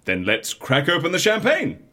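Eccentric British male fixer, genial and breezy, wry coaxing manner, very lively intonation. Audio comedy. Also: fairly high pitch, normal speed, heavily acted. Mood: brisk, pleased. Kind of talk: scripted audio comedy acting.

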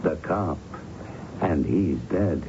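A gravelly gangster in an old radio drama, smug and falsely friendly. His low voice swings up and down as he rambles in slang. The sound is muffled and very noisy. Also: lively intonation, slow, exaggerated voice. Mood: smug menace. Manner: slangy, rambling, folksy menace.

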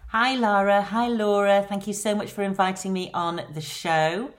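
A middle-aged woman with a Lancashire accent, chatting casually as a podcast guest and filling pauses with ums. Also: mid-range voice, fairly even intonation. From England. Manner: chatty, rambling, many ums. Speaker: guest, celebrity agent.

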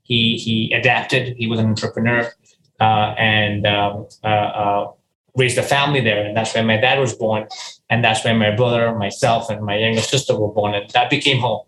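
A man's voice: lowish voice, fairly even intonation, normal speed, fairly bright tone, reverberant, slight background noise, rough recording. A man from Ghana, a company founder, answering interview questions earnestly, often restarting his sentences and saying "you know".

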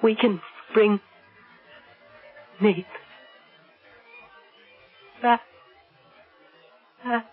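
Frightened, clinging wife, thin radio sound. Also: female voice, on the high side, fairly even intonation, very slow, long pauses, husky, narrow, tinny audio, exaggerated voice. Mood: adoring, fervent. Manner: breathless, pleading, melodramatic. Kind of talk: old-time radio drama acting. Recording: thin old radio broadcast, music behind.

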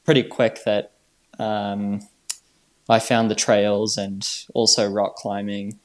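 A man in his twenties from New Zealand, chatting casually as a podcast guest in a laid-back, halting, slangy way. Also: fairly low pitch, level tone. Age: 20s. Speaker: podcast guest, trail runner. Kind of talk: casual chat.

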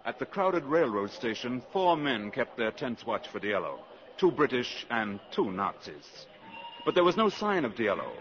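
A man's voice: mid-range voice, very animated, moderate pace. Suspenseful male radio narrator, muffled vintage sound. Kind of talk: scripted 1950s radio drama narration. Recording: dull old radio broadcast, faint music.

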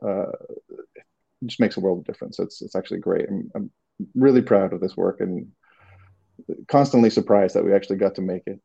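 A man's voice: low-pitched, brisk, gravelly, long pauses, mellow, slightly rough, highs cut off. A man from Canada with a Canadian accent, answering interview questions casually and hesitantly, with many restarts. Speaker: creative director, guest.

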